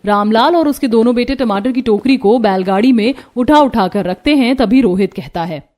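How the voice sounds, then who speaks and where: A woman's voice: fairly high pitch, normal speed, a little mellow. A woman narrating a scripted cartoon story as its storyteller.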